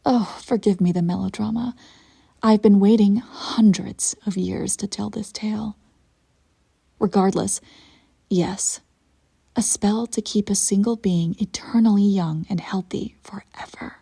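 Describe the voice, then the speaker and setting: A woman playing a ruthless, self-satisfied immortal evil empress in a scripted roleplay monologue, telling her tale in a haughty, intimate, theatrical way with a soft, husky, breathy voice. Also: medium pitch, steady tone. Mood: wry, theatrical. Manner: deliberate dramatic storytelling, haughty and intimate.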